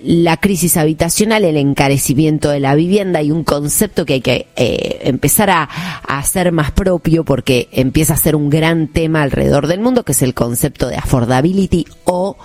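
A woman, hosting a news show and explaining events in a measured way with hesitant fillers. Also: low voice, darkish tone. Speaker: news host. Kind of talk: news commentary and interview.

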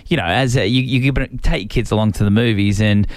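A man's voice: fairly low pitch, brisk, no echo. An Australian man hosting a morning radio show, chatting with enthusiasm in a studio. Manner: chatty, enthusiastic, lively storytelling. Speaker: radio host. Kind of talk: casual chat. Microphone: studio mic.

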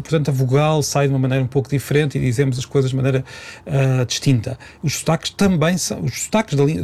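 Man, European Portuguese accent, rapid pace, mid pitch, didactic, emphatic, spontaneous expert conversation. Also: creaky, a little mellow. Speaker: language expert, regular guest. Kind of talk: unscripted explanatory conversation.

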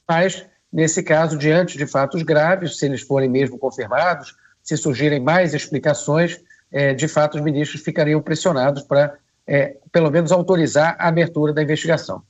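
A man with a cold giving measured radio news commentary, pausing often with hesitations. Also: on the high side, fairly even intonation. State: hoarse from a cold. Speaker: political commentator.

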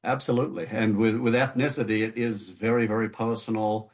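A man's voice: lowish voice, little pitch movement, fairly quick. A man from the United Kingdom, a guest author in an interview conversation, speaking thoughtfully with frequent fillers and restarts. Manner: hesitant, many restarts and fillers.

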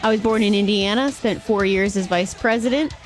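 An upbeat woman radio host runs a trivia bit with a caller, teasing out clues and cheering each right answer. She speaks into a studio mic, and a faint music bed plays softly behind her. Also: medium pitch. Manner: playful quizzing, quick to cheer. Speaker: radio morning show host. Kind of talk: upbeat radio contest banter. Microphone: studio microphone.

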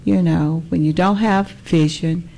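A woman in her sixties from Alabama with a Southern US accent, answering conversationally as an interview guest, in measured, hesitant phrases. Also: on the low side, fairly even intonation, gravelly, dark tone, low-quality recording. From Birmingham, Alabama. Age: 60s. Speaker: political candidate, interview guest.

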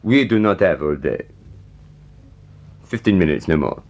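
An actor playing a stern French bailiff in a period radio drama, formal, curt and official. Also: male voice, deep voice, lively intonation, unhurried, darkish tone. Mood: stern, firm. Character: stern, unbending French bailiff serving a writ.

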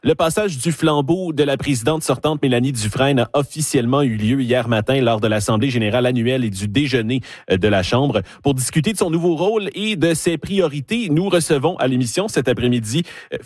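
A man hosting a radio interview, speaking in a measured way with frequent hesitations. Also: medium pitch, animated, darkish tone, no echo, clean studio sound. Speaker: radio show host. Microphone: studio microphone.

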